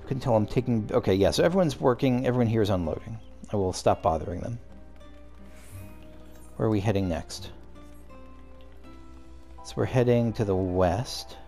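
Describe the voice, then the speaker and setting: A man's voice: on the low side, normal speed, gravelly, pauses often, dark tone, soft background music. A man casually commenting while playing a game, rambling and cutting himself off as he thinks aloud. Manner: rambling, self-interrupting, musing aloud. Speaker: let's play host.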